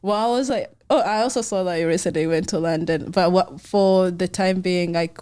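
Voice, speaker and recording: A young woman hosts a casual podcast conversation. Her voice sits fairly low for a woman and has a dark, mellow tone. She talks in a chatty, informal way, peppering her sentences with "like.". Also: moderate pace, smooth. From Rwanda. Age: young adult. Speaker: podcast host.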